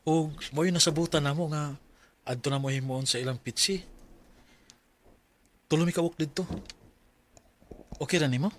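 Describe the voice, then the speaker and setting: Casting: man playing earnest young Filipino college sweetheart for radio drama, somewhat high voice, slight noisy ambience. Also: moderate pace, pauses often, natural voice. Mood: considerate. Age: young adult. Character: sweet-talking, earnest young student boyfriend.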